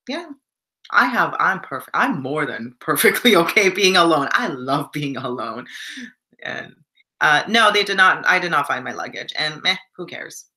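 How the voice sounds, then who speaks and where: A young woman from Canada talks to her live stream viewers in a loose, reflective way. Her voice sits low but has a bright, forward edge, and she keeps stopping to read the chat. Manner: warm and reflective, reads chat comments aloud.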